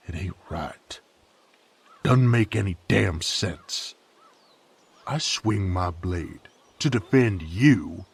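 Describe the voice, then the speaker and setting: A man playing a gruff, weary sadist knight in a roleplay audio drama, speaking in rough, folksy, halting phrases with a gravelly voice. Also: exaggerated voice. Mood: bitter. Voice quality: gravelly, very deep. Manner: rough rustic folksy speech, brooding, halting. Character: sadist knight, gruff former carnival drifter, weary and brooding.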